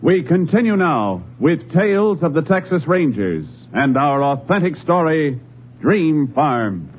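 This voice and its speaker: A man's voice: on the high side, very animated, unhurried. A man announcing a drama programme in formal, scripted 1950s radio style. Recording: dull vintage radio recording, music.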